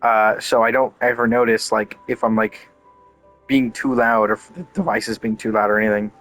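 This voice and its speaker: A man's voice: lowish voice, steady tone, normal speed, a bit bright. A man doing casual gameplay commentary, rambling and thinking aloud as he streams. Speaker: game streamer. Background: game music.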